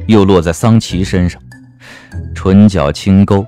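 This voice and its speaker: A man's voice: low voice, unhurried, warm tone. A man narrating a comic period novel as an audiobook, with a wry, theatrical delivery. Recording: music bed under the voice.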